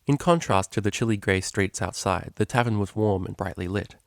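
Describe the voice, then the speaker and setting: Male story narrator, lowish register, recorded dry and close. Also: fairly quick, gravelly, no room sound. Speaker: narrator, fan fiction reader.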